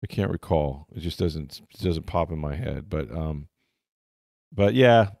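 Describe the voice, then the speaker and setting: A man's voice: a bass voice, lively intonation, measured pace, vocal fry, a little mellow, no room sound, clean studio sound. A man hosting a sports podcast, chatting casually about games and players. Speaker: sports podcast host and football reporter.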